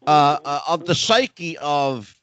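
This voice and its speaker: A man hosting a podcast panel who talks excitably and keeps jumping in with questions. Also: on the high side, takes it slowly, clean tone. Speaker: podcast host. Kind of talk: casual podcast panel chat.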